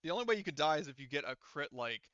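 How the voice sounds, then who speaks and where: A man acting as game master for a tabletop session, with a bright, clear voice and a close, dry sound. He talks casually, working things out aloud and swearing here and there as he narrates. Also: fairly high pitch, big pitch swings, racing, no room sound, lo-fi sound.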